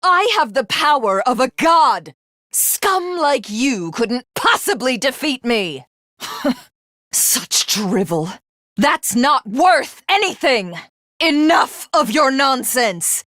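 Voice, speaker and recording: An actress voicing an arrogant, cruel video game villainess who craves godhood, with haughty, grandiose and menacing formal diction. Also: female voice, high voice, very animated, takes it slowly, fairly bright tone, no room sound, clean studio sound, heavily acted. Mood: contemptuous fury.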